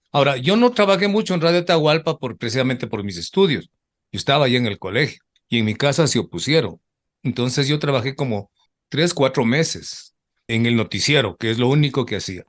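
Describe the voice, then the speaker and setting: An older man reminisces about his years as a radio announcer. His voice sits in an ordinary middle pitch for a man. He tells the story in a loose, rambling way and keeps trailing off with repeated asides. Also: a bit bright. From Ecuador. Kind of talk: reminiscing in an interview.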